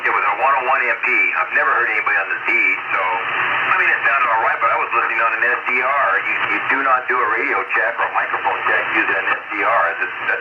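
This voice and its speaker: A middle-aged American man chatting casually and ramblingly as an amateur radio operator, over a shortwave radio transmission. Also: medium pitch. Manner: rambling, conversational. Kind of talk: casual ham radio chat. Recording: muffled, very noisy shortwave radio reception.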